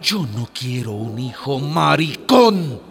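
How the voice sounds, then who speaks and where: Stern, furious father, wildly swinging pitch. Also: big pitch swings, slow, creaky, a crowd in the background, heavily acted. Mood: enraged. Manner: harsh, commanding. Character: stern, homophobic, angry father of a young child. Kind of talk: radio drama acting.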